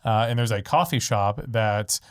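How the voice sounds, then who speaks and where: A man's voice: on the low side, normal speed, clear, resonant tone. A man hosting a podcast in a studio, talking casually and rambling on tangents. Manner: chatty, rambling with fillers and tangents. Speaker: podcast host. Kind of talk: casual conversational interview. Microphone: studio microphone.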